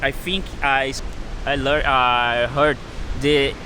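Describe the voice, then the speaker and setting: A man's voice: mid-range voice, flat delivery, takes it slowly, background noise. A man from Brazil with a Brazilian Portuguese accent, a native Portuguese speaker answering street interview questions in simple, hesitant English. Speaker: interviewee, engineering student.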